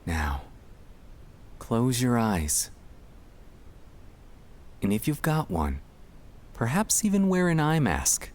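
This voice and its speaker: A man speaking in a gentle, soothing and reassuring way, guiding relaxation in an ASMR style with pauses between short phrases. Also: mid-range voice, huge pitch swings. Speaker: ASMR sleep-aid performer.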